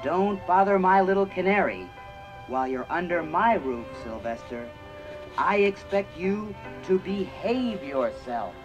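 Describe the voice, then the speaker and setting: A man voicing a fussy elderly grandmother in a storybook record, scolding loudly in a raised pitch, with synth music behind. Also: expressive, unhurried, dull audio. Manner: scolding, loud and high. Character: stern old grandmother, fussy and protective.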